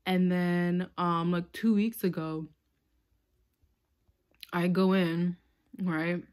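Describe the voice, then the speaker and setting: A woman's voice: fairly low pitch, little pitch movement, very slow, darkish tone. A young woman born in Tanzania telling a casual storytime into her phone, rambling with lots of filler words. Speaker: vlogger. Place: small room indoors. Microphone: phone held close.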